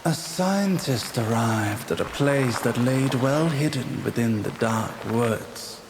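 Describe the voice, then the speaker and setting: A man narrating a scripted suspense story in a measured, ominous storytelling manner. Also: mid-range voice, lively intonation, noisy background. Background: music.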